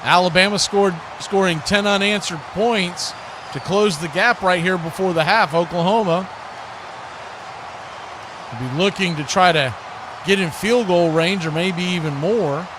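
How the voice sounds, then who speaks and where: A man's voice: high-pitched, unhurried, background noise. A man doing live radio play-by-play of a football game, calling the action in clipped, punchy bursts. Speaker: play-by-play sports announcer.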